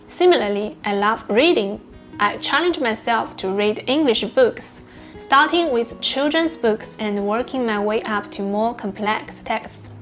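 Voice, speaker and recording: A woman whose first language is Chinese, giving scripted narration about learning English in a measured, even way. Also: a little high, faint music behind.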